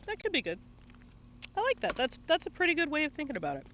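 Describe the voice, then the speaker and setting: A man's voice: very high-pitched, wildly swooping pitch, fast talker, bright, forceful tone, a little hiss or hum. A man working as a livestream interviewer, asking questions in a casual, conversational way.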